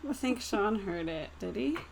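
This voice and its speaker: A woman's voice: mid-range voice, animated, moderate pace, gravelly, background noise. A giggly, playful woman chatting casually as a player in an online tabletop game. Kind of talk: casual chat, reading out viewer comments.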